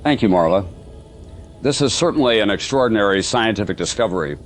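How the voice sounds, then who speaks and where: A man playing a sober radio news anchor, reading a bulletin in a formal, measured way in an audio drama. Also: on the low side, expressive, faint music behind, natural voice. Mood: serious, formal.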